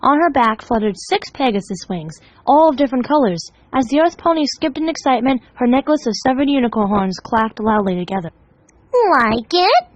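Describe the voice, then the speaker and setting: Animated woman reading a dramatic story. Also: on the high side, fairly quick, hardly any pauses, lo-fi sound. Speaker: fan fiction reader.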